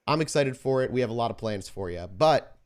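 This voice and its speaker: A man's voice: medium pitch, lively intonation, brisk. A man acting as host and storyteller of a tabletop horror game podcast.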